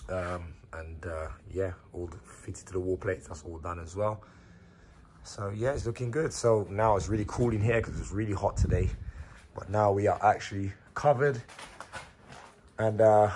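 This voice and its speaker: A man in his thirties, hoarse from a sore throat, casually walking viewers through his building site and explaining the work step by step. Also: low voice, a little hiss or hum. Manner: relaxed, explains step by step with fillers. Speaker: builder vlogger. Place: unfinished building extension under a new roof, indoors. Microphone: phone held at arm's length.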